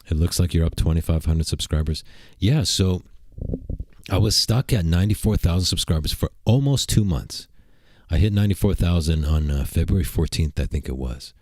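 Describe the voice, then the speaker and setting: A man with a very deep voice chats on his livestream from a computer desk. He rambles along and throws out crude, provocative jokes at his viewers. His voice sounds close and dry, with no room echo. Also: normal speed, a little mellow. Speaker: streamer and channel host. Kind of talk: casual livestream chat. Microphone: desk mic at a computer.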